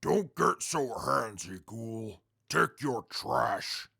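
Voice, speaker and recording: A man playing a stern, guarded wasteland character in an audio drama, speaking formally and deadpan. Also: mid-range voice, drawn-out words, creaky, slightly rough, heavily acted. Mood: curt, dry.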